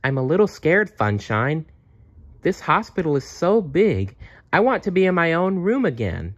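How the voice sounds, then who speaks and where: A man's voice: a little high, very animated, moderate pace, no room sound, voice pushed up. A man voicing a timid little girl from a storybook, hesitant and childlike, on a dull recording. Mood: worried. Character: timid, worried little girl facing hospital.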